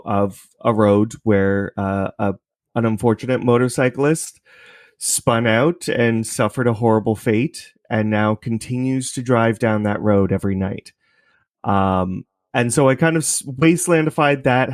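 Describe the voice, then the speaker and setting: A man's voice: on the low side, no echo, clean studio sound. A man chatting as an interview guest, explaining his creative work in a loose, thinking-aloud way. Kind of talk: casual interview chat, explaining.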